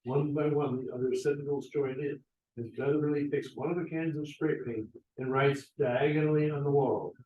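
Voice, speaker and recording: A man's voice: fairly high pitch, steady tone, moderate pace, narrow, tinny audio, a little hiss or hum. A man narrating as the reader of stage directions, in a scripted style. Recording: roomy, echoey, distant microphone.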